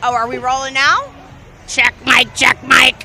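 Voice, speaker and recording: A woman's voice: sits high, steady tone, measured pace. A woman recording a station ID in a giddy, bubbly manner.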